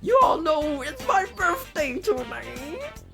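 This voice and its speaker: A man's voice: extremely high pitch, big pitch swings, slow pace, creaky, over music, voice pushed up, heavily acted. A man voicing an elderly grandmother who is fussing about her birthday, reading video game dialogue aloud. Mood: insistent.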